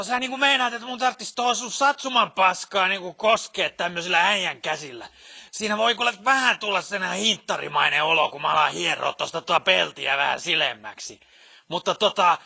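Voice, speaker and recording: Man acting as scornful male mechanic, video game, crude, boastful, piercing, gravelly rasp. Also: high voice, sharp tone, heavily acted. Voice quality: raspy, creaky. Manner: slangy, scornful, rambling. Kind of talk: video game character dialogue.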